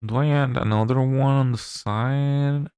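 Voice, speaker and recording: A man's voice: medium pitch, steady tone, measured pace, darkish tone, smooth, dry recording, clean studio sound. A man from Chile with a Chilean Spanish accent, a native Spanish speaker chatting casually on a livestream, musing aloud while he works and answers chat. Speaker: streamer.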